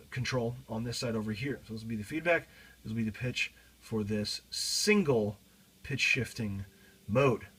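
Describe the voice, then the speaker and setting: A man's voice: mid-range voice, fairly bright tone, breathy edge. A man talking informally through a guitar effects pedal demo, explaining casually and hands-on as he goes. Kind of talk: informal gear demo talk.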